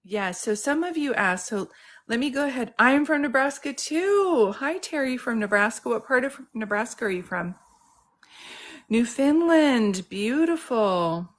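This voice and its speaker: A middle-aged woman psychic medium hosting a casual live stream, chatting warmly with viewers, greeting them by name and reading out their comments. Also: fairly high pitch, expressive, measured pace. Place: home in Santa Cruz.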